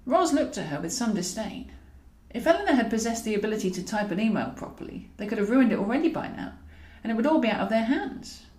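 A British woman reads comic fiction as an audiobook narrator. Her delivery is wry and very animated in pitch. The recording has an audible roomy echo. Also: fast, few pauses. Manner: wry, expressive. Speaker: author and narrator.

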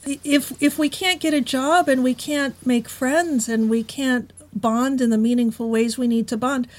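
A woman talking in a casual podcast conversation, thoughtful and measured, slowing down on key words. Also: high-pitched, steady tone.

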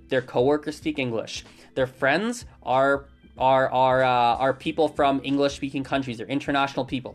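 A language teacher talking at home in a casual, rambling monologue, answering a listener's question and often stopping to correct themselves. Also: medium pitch. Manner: rambling, self-correcting, quick restarts.

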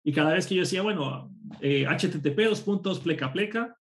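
A man from Costa Rica, a programmer and course instructor, telling lively personal stories as a guest in a casual interview. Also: on the high side, brisk, a little hiss or hum. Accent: none, a neutral Spanish. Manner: lively anecdotal storytelling.

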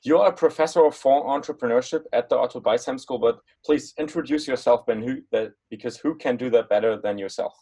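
A man's voice: medium pitch, fairly quick, hardly any pauses, warm tone, husky. A young man hosting a podcast, asking long, rambling questions full of restarts and fillers. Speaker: podcast host. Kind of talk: casual interview hosting.

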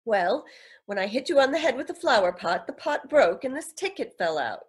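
A woman playing a sleuth's earnest, excitable sweetheart, fretful and affectionate, in vintage-style radio drama. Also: on the high side, a little fast, husky. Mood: inquisitive.

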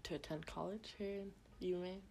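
A woman's voice: lowish voice, fairly even intonation, normal speed, gravelly, darkish tone, a little hiss or hum. A young woman from Sweden co-hosting a podcast, chatting casually with lots of fillers and a frank, swearing manner. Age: young adult. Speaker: podcast co-host. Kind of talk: casual chat.

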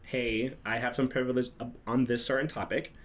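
A man's voice: mid-range voice, level tone, a little fast. A young man from the Dominican Republic, co-hosting a casual podcast and speaking in an earnest, reflective way. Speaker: podcast co-host.

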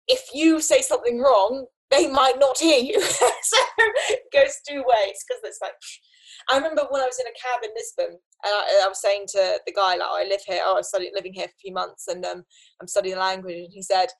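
A young woman in casual conversation, animated, often restarting her sentences and laughing between thoughts. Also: medium pitch, rough recording. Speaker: guest.

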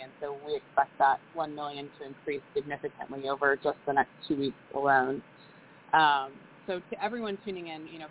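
A woman giving a measured update on a podcast, often repeating words as she rephrases. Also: low-pitched. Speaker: charity vice president. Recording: tinny phone-like line with faint hiss.

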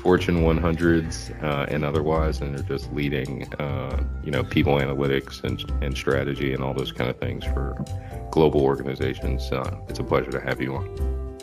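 A man hosting a casual interview, relaxed and loose, with lots of "uh" and "you know" fillers. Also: rumbling low, moderate pace, vocal fry, music in the background. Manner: relaxed, many fillers and restarts. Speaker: host.

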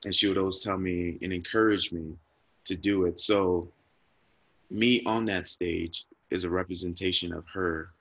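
A man's voice: low-pitched, steady tone, moderate pace, fairly bright tone, dry recording. A man telling a personal story in an interview, earnest and reflective, growing emotional and repeating words when moved. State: emotional, near tears. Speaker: guest speaker.

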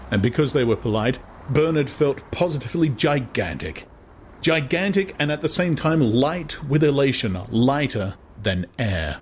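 A man narrating a literary novel as a dramatized audiobook. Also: mid-range voice. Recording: clear background noise.

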